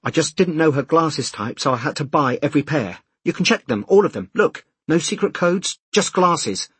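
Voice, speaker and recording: A man's voice: mid-range voice, moderate pace, breathy edge, no echo, lightly acted. A man voicing a harried, exasperated man in an audiobook, speaking urgently and insistently. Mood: urgent pleading. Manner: emphatic, insistent. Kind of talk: audiobook character dialogue.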